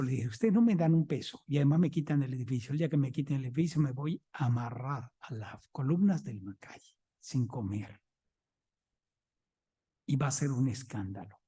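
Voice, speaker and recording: A man's voice: medium pitch, warm tone, husky. A man with a Mexican Spanish accent giving a conference talk as a museum director, chatty and anecdotal, often checking in with listeners.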